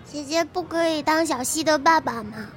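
A woman's voice: high-pitched, normal speed, a little hiss or hum, lightly acted. A woman playing a sweet, clingy little girl in an audio drama, with a childlike voice and pleading, simple phrasing. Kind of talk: Chinese audio drama acting.

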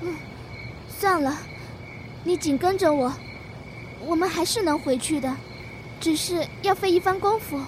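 A woman's voice: very high voice, unhurried, long pauses, noisy background. A woman acting a brave, protective young servant in an audio drama, speaking in a firm, urgent, reassuring way. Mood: calm, reassuring. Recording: music behind the voice.

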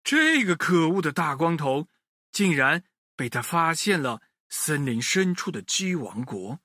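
A man telling a children's story in an expressive, animated way, speaking directly to young listeners. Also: on the high side, a little slow, no echo, studio quality.

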